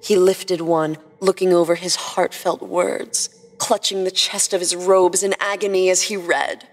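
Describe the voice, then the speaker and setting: A woman's voice: on the low side, level tone, measured pace. Woman narrating a story in long reverb. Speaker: podcast host and story narrator. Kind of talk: scripted narration of humorous fan fiction. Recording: long reverb, music behind the voice.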